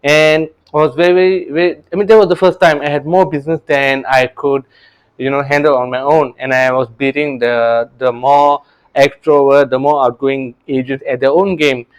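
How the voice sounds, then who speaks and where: A man's voice: medium pitch, steady tone, moderate pace, clear, resonant tone, poor audio. A man, a real estate coach telling his story in an earnest, casual way, often restarting words and adding "right?". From Singapore. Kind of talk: casual motivational talk to camera.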